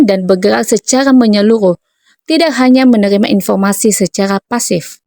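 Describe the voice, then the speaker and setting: A woman from North Sumatra who teaches preschool, reading a prepared account in a measured, earnest way. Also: a little high, no echo, studio quality. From North Sumatra, Indonesia. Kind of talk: scripted narration, reading a prepared text.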